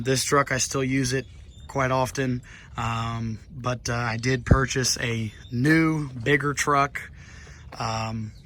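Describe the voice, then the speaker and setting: A man hosting a car channel vlog, his voice hoarse from laryngitis, rambling with many ums as he talks beside a pickup truck outdoors. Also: mid-range voice, bright, forceful tone, slight background noise. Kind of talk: casual walkaround vlog.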